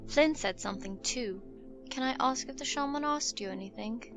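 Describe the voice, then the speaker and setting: A woman's voice: on the high side, moderate pace, music in the background, natural voice. A woman playing a caring, practical, inquisitive canine companion in a dramatised fantasy audio story. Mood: curious, quiet.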